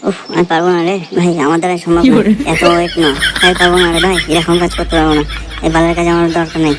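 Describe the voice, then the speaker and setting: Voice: medium pitch, completely flat delivery, rapid-fire, background noise, lightly acted. A performer voicing a broke young labourer in a comedy cartoon, speaking colloquially and repeating short phrases. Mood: fed up. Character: broke young labourer, foul-mouthed, easygoing, looking for work.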